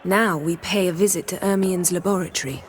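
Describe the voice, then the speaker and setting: An actress playing a haughty, commanding sorceress in a video game, speaking in a formal, controlled and clipped manner. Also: female voice, lowish voice, steady tone, brisk, a little hiss or hum, natural voice. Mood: businesslike. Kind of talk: video game character acting. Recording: music underneath.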